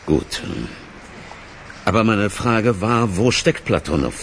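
An actor playing a wry, unhurried police chief who speaks in laconic, hesitant, probing phrases in a radio drama. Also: male voice, fairly low pitch, animated, fairly bright tone, a little hiss or hum.

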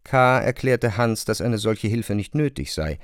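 A man narrating a literary radio drama in a measured, precise manner. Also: lowish voice, lively intonation, no echo, clean studio sound.

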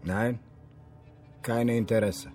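An actor playing a gruff, curt Russian captor in a radio drama, speaking tersely and sullenly. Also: male voice, mid-range voice, fairly even intonation, slow, darkish tone, faint music behind, natural voice.

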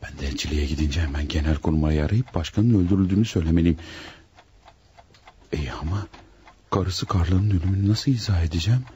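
An actor in a radio drama playing a panicked, scheming killer who mutters dramatically to himself. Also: male voice, low-pitched, quick pace, dark tone, dull audio, natural voice. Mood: agitated, brooding. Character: panicked scheming male killer hiding beside his victim. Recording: noisy old radio drama recording.

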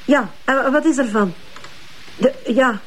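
A woman with a Flemish accent playing a chatty, cheeky police detective in a radio drama, brisk and informal. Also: high voice, a little hiss or hum. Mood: harried, chatty.

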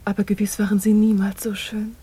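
Devoted young female secretary, radio drama: very breathy, mellow, hushed and reverent. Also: medium pitch, completely flat delivery, brisk. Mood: wondering, tender. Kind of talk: German radio drama acting, literary and intimate.

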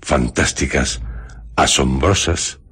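A man narrating a radio mystery programme in a solemn, theatrical, suspenseful manner with dramatic pauses. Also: rumbling low, steady tone, a bit bright, husky. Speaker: radio show host and narrator. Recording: music behind the voice. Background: background music.

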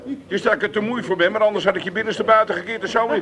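A grumpy, hard-drinking blusterer in a radio comedy. His voice is high and sharp, full of sarcasm and empty threats. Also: steady tone, very fast. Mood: blustering. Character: grumpy, threatening whisky-drinking working-class man. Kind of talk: comic Dutch radio play acting.

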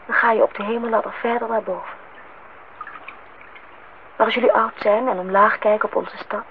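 Actress as a gentle dreamy woman, tinny noisy recording. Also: mid-range voice, close to an everyday voice. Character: gentle, dreamy, candid woman telling a parable. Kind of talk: Dutch radio drama acting. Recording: tinny, band-limited, noisy old radio recording.

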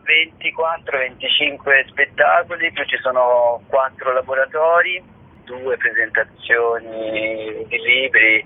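A man's voice: mid-range voice, slow pace. A man, giving an unscripted interview as an artistic director, hesitant and often repeating himself as he searches for words. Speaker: theatre festival artistic director being interviewed. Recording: tinny phone line with clear noise.

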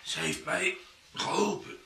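An actor in a radio play playing a mortally wounded man, weak and gasping, who speaks in halting fragments. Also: high voice, fairly even intonation, creaky, pushed and bright, heavily acted. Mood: weak, anguished. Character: mortally wounded man, suspicious, then gasping out last secrets. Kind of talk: radio drama acting. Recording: roomy, distant radio play recording.

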